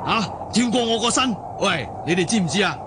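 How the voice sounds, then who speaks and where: A man's voice: high voice, big pitch swings, brisk, background noise. A man with a Hong Kong accent playing a blustering, buffoonish drunken army officer, stammering and exaggerated, in a radio drama. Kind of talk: Cantonese wuxia radio drama acting.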